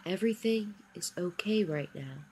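A woman narrating a scripted guided meditation in a soothing, encouraging manner, with short phrases and long pauses. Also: lowish voice, animated, a little mellow, slight background noise, rough recording. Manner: encouraging affirmations. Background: background music.

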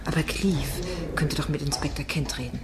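An actress playing a determined, composed defence lawyer in a German radio crime drama. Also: female voice, low voice, normal speed, very noisy, close to an everyday voice.

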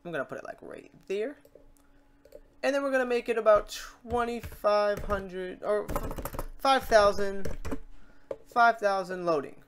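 A man hosting a software tutorial in a casual, chatty way, talking through each step aloud. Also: sits high, measured pace, pauses often, slight background noise. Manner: informal, chatty, talks through steps aloud. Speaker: tutorial host.

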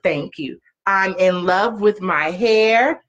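A woman in her thirties, a mother reading and chatting for a children's story time in an animated, encouraging way, recorded on a webcam in a living room. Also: medium pitch, crowd noise. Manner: animated, encouraging call-and-repeat delivery with drawn-out phrases. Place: small living room, on a couch. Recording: slightly dull home webcam audio.

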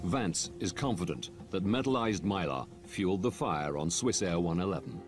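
A man narrates a documentary in a measured, grave way, his low voice moving through a lively range. Music plays clearly underneath him. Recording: music bed behind narration.

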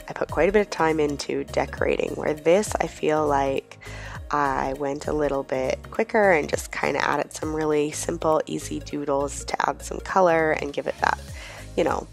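Hoarse woman chatting over soft music. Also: sits low. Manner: chatty, rambling with many ums. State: hoarse from a cold. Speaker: planner vlogger. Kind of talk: casual voice-over chat.

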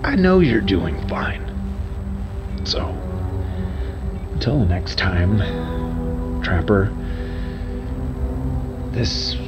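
An actor playing a weary, resigned male radio host who talks haltingly and broodingly in an audio drama. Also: lowish voice, big pitch swings, exaggerated voice. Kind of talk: audio drama monologue as a live radio broadcast. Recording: dull band-limited broadcast sound under music.

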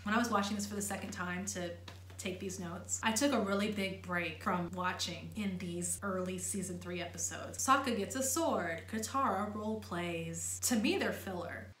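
A young woman with a slightly hoarse voice gives an expressive fan commentary on a cartoon, talking with her hands. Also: mid-range voice, normal speed, echoey room, slight background noise. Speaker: vlogger reviewing a cartoon. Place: living room, seated on a sofa.

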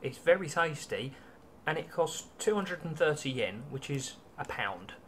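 A man's voice: on the high side, sing-song, unhurried, a bit bright, a little hiss or hum. Wry British man on a webcam. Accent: British English. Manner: chatty and wry, with many ums and restarts. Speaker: travel vlogger. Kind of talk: casual food-tasting vlog. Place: small hotel room, indoors.

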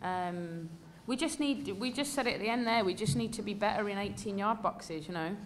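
A woman's voice: medium pitch, fairly quick, slight background noise. An English woman in her thirties, a football coach answering press questions candidly and emphatically, with many fillers, at a desk mic in a press room. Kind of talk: post-match press conference answers. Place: indoor press room before a sponsor backdrop.

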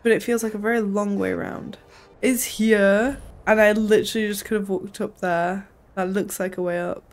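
A woman's voice: medium pitch, level tone, moderate pace. A young woman giving casual gameplay commentary, playful and often muttering to herself. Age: young adult. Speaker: game streamer. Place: small room at home. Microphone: desk mic close up, headset worn.

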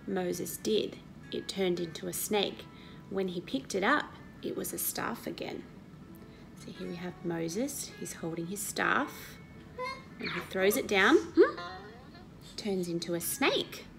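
A woman in her thirties reads a Bible story to children, explaining it and asking questions in an expressive, teacherly way. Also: medium pitch, slow pace, gravelly, over music, rough recording. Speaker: Sunday school story reader. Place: indoor living room at home.

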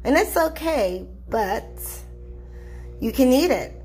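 A woman's voice: sits high, lively intonation, takes it slowly, a little mellow, soft background music. A woman voicing a firm, attentive mom in a children's storybook, with a sing-song way of calling.

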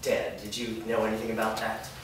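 An actor playing a prissy, menacing henchman of a dark master in a staged fantasy reading, haughty, insinuating and deliberate. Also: lowish voice, little pitch movement, natural voice. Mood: smug probing. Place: indoor performance room before a small audience. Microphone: far camera. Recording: echoey hall, distant mic, noisy.

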